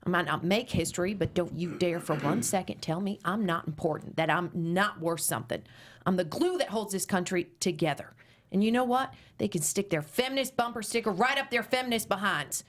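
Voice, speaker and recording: A woman's voice: lowish voice, a little fast, lightly acted. A woman reading the part of a prim, earnest conservative mother, polite and self-righteous.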